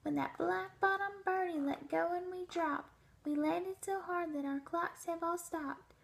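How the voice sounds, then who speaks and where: Woman as a tiny, soft, plaintive storybook mayor. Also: very high voice, a little slow, vocal fry, exaggerated voice. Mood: plaintive pleading. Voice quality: small, soft voice. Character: tiny, scared little male mayor, plaintive and polite.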